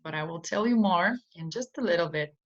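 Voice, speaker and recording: A woman's voice: lowish voice, a little fast. A woman teaching an English idiom in an online lesson, speaking patiently with simple explanations and frequent ums. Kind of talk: slow online vocabulary lesson. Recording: dull lo-fi recording.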